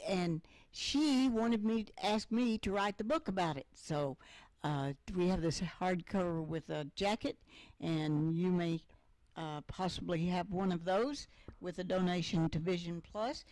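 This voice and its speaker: A woman's voice: on the low side, a little mellow, no echo, poor audio. An older woman hosting a talk show, chatting in a rambling way with many fillers. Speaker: host.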